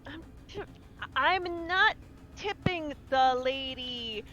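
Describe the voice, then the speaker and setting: Woman, high pitch, bright sharp tone, tabletop player, in-character banter. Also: extremely slow, lo-fi sound, soft background music.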